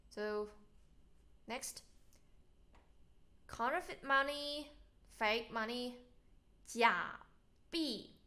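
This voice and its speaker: A young woman teacher with a Chinese accent and a bright, fairly high voice. She gives a vocabulary lesson in English and her native Mandarin, very slowly, enunciating each model word with care for learners. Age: young adult. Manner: didactic, carefully enunciated model words. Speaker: language teacher.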